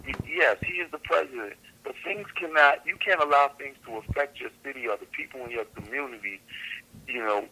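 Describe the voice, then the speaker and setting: A man's voice: mid-range voice, fairly quick, bright, forceful tone, a little hiss or hum, low-quality recording. A man, a council candidate guest on a radio show, speaking earnestly and repeating phrases for emphasis. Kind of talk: radio interview answer.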